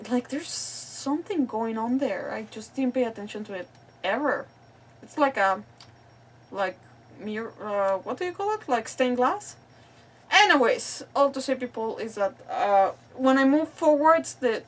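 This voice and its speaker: Female streamer, higher register, loose unscripted chatter, apologetic and self-mocking. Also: distant mic. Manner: rambling, self-deprecating, apologetic. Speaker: livestream host. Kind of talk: casual live stream chat.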